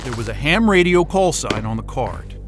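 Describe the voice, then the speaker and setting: A man's voice: medium pitch, normal speed, music in the background, natural voice. A man playing a frantic, hot-tempered, impatient stranded man in a naturalistic audio drama. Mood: frustrated. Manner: excitable, exasperated, muttering and cursing.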